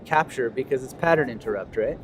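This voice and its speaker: A man with a middle-pitched voice joins a casual discussion with analytical remarks. His wording is measured and somewhat technical. Faint urban outdoor noise is audible behind him.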